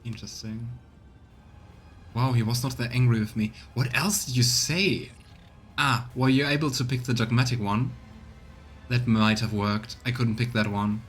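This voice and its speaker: A man's voice: mid-range voice, fairly even intonation, normal speed. A man streaming a video game, commenting casually and reading the game's narration aloud. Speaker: let's play streamer. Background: game music.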